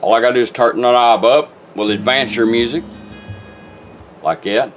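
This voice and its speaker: A man's voice: medium pitch, moderate pace, music in the background. A man talking casually and plainly as he demonstrates a product step by step, in a folksy, instructional way. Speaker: car radio restorer demonstrating a product.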